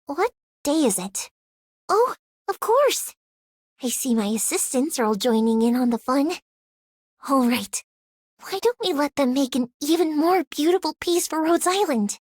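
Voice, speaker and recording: A woman's voice: high-pitched, sing-song, unhurried, darkish tone, no room sound. A woman playing an airy, cheerful, refined young artist in a video game, with a theatrical manner. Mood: delighted. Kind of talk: video game character voice acting.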